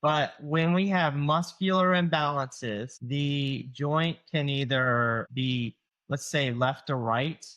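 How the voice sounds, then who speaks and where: A man's voice: on the high side, takes it slowly, hardly any pauses, fairly bright tone, highs cut off. A man in his forties with a Southern US accent, a chiropractor talking casually on a podcast, full of fillers and acted-out patient quotes. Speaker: chiropractor guest. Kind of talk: explanatory podcast conversation.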